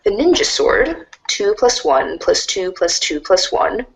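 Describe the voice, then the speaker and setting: A woman reading narration aloud in an animated storybook style for a reader's theater. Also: medium pitch, measured pace, poor audio.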